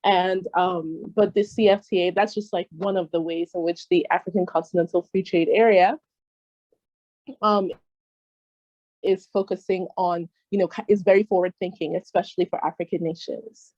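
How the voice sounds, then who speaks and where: A woman's voice: on the low side, steady tone, normal speed, a little mellow, no echo, highs cut off. A young woman with an American accent, born in Nigeria, talking casually as an interview guest and hedging with many fillers and restarts. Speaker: guest trade expert.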